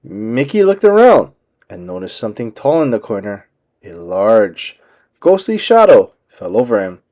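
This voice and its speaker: A man reading a children's book aloud as an expressive storyteller. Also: on the low side, measured pace.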